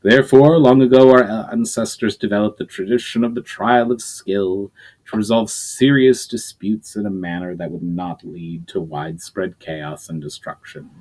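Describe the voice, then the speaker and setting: A man voicing a calm, wise male mage leader who speaks slowly and patiently. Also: lowish voice, lightly acted, low-quality recording. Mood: explaining. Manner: measured, patient.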